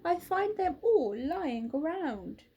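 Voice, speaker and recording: A woman voicing a kind little female owl in a storybook, gentle and friendly. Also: high-pitched, big pitch swings, slow, pitched above the performer's own voice, full character voice.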